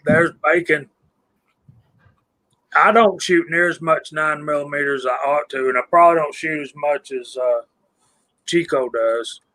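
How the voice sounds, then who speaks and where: A man in his fifties co-hosting a casual live stream chat, relaxed and self-deprecating as he asks guests questions. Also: on the high side, a little slow, pauses often, low-quality recording. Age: 50s.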